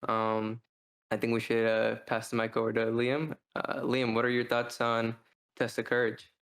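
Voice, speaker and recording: A man's voice: medium pitch, monotone, normal speed, a bit bright, clean tone. A man hosting a podcast in a casual discussion style. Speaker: podcast host.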